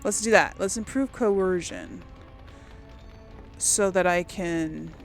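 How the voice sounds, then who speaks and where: A woman's voice: fairly low pitch, slow, vocal fry, faint music behind. A woman giving casual video game commentary, conversational and musing as she thinks aloud and talks herself through choices. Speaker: let's play host.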